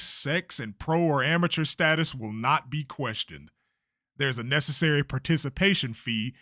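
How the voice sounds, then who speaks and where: A man's voice: mid-range voice, a bit bright, no echo, studio quality. A man voicing a blustering older race promoter in a comic dub, theatrical, bossy and emphatic. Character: blustering older male race promoter, secretly fearful showman. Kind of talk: comic-book dub voice acting.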